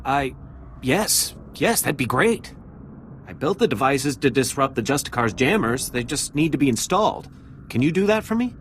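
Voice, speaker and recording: A jittery security officer in a game, mid pitch, anxious and hesitant, faint noise behind. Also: male voice, big pitch swings, moderate pace. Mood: eager, grateful. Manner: hesitant, anxious, hushed urgency. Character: nervous, jumpy male city security officer. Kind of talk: video game character acting.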